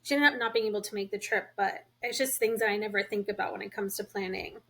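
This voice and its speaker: A woman co-hosting a podcast in casual chat, asking questions and thinking out loud. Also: mid-range voice, fast. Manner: relaxed, asks questions, thinks aloud. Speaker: podcast co-host.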